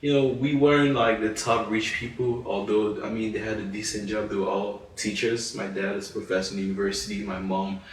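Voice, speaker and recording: A man's voice: lowish voice, fairly even intonation, a little fast, hardly any pauses, a little hiss or hum. A man from Cameroon, a French speaker, co-hosting a casual discussion in an earnest, thoughtful way. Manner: earnest, thoughtful, with many you-knows and restarts. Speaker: podcast co-host. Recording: echoey room, far from mic.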